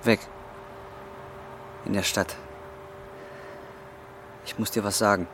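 A man's voice: on the low side, steady tone, takes it slowly, fairly bright tone. An actor in his twenties playing a desperate, broke young man who swears in coarse slang, in naturalistic film drama style. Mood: subdued, confessing. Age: 20s. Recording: clearly noisy film location sound.

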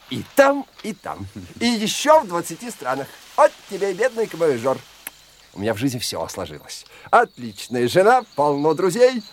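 A man's voice: high-pitched, slight background noise. An actor in a Russian radio drama playing a boastful, talkative married man who puts on expansive good cheer. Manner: expansive, talkative, peppers talk with questioning tags.

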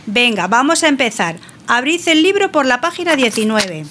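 A woman playing a new schoolteacher with a quick temper in an audio drama. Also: high voice, very animated, a bit bright, a little hiss or hum. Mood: brisk, stern. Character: new schoolteacher woman, quick to anger, fiery when irritated. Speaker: teacher.

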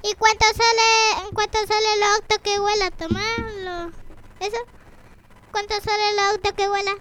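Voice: extremely high pitch, flat delivery, moderate pace. A child improvising a playful shop role-play in Spanish, with noise all around. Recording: noisy, loud ambient room noise.

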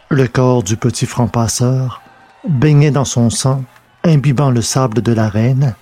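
A man's voice: mid-range voice, dark tone, slight background noise. A man reading a scripted science fiction adventure as narrator.

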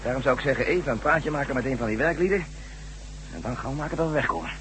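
An actor playing a brisk, cool-headed general with a dry, commanding manner in a science-fiction radio drama. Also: male voice, medium pitch, lively intonation, close to an everyday voice. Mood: dry, calm. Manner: brisk, commanding, drily teasing. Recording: noisy hissy old radio recording.

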